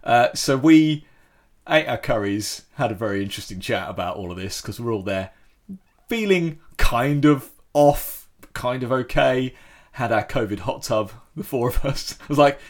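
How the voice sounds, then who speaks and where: A man tells a story in relaxed conversation. His pitch swings widely as he talks, and he often stops and restarts. The delivery is lively and expressive. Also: normal speed. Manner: animated storytelling with frequent restarts. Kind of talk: casual chat.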